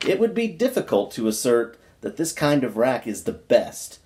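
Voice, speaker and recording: A man with a folksy, informal manner, chatting casually and reading aloud from a book in an unhurried way. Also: medium pitch, sing-song, darkish tone, a little hiss or hum. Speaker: pipe-smoking video creator reading a book aloud.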